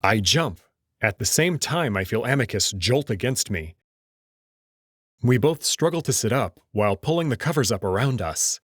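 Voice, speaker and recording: A man's voice: medium pitch, lively intonation, moderate pace, fairly bright tone, breathy edge, dry recording, clean studio sound. A man narrating a visual novel as a scripted, dramatic read-aloud. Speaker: host and narrator.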